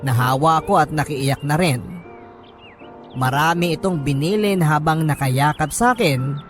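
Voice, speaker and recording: A male radio storyteller with a slightly high voice. He reads a dramatic first-person narration over faint background music. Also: measured pace, fairly bright tone. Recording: music under the voice.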